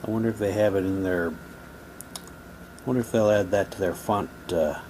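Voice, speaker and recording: A man's voice: low voice, a little mellow. Man reading aloud, noisy background. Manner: halting read-aloud with muttered asides. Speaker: unboxing presenter. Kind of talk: casual unboxing, reading old newspaper props aloud.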